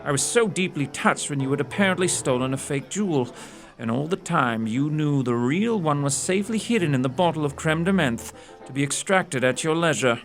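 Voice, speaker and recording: An actor in an audio drama playing a shrewd, courteous gentleman detective with a precise, theatrical, condescending manner. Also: a little high, lively intonation, fairly quick, soft background music. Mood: smug, knowing.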